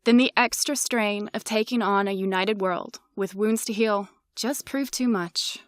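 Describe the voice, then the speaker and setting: An actress playing a courteous, diplomatic alien president in an audio drama, speaking in a formal, measured manner. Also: female voice, medium pitch, dry recording, natural voice. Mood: formal, gracious.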